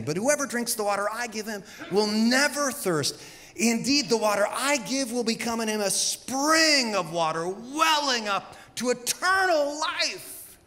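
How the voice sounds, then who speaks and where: A man in his fifties preaching a sermon on a church stage with a handheld mic, telling stories and acting out quoted voices. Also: very high voice. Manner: storytelling, animated, acts out quotes. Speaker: pastor. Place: large church stage with audience. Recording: long reverb of a big hall.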